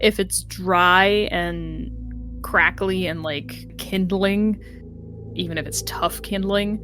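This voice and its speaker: A woman's voice: lowish voice, steady tone, takes it slowly, pushed and bright, faint music behind. A woman chatting casually as a player in a tabletop game.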